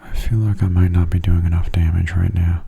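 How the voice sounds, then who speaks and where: A man giving soft-spoken ASMR game commentary in a gentle, breathy, unhurried voice that often drops close to a whisper. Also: flat delivery, highs cut off. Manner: gentle, unhurried, low-key. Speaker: gaming video host.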